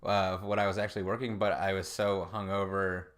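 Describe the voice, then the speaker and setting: A man's voice: sits low, level tone, fairly quick, a bit bright. Laid-back guy in his twenties. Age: 20s. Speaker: podcast co-host. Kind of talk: casual chat.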